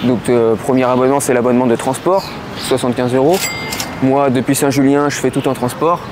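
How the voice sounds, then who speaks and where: A man in his twenties from the Lyon region, answering interview questions outdoors in a city, pausing often with fillers. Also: mid-range voice, fairly even intonation. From Lyon region, France. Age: 20s. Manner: hesitant, many fillers and restarts. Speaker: interview guest. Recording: clear street noise behind.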